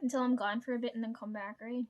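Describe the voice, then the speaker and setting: A woman's voice: on the high side, flat delivery, quick pace. A woman vlogger telling a story to her viewers in casual chat, swearing freely and laughing often.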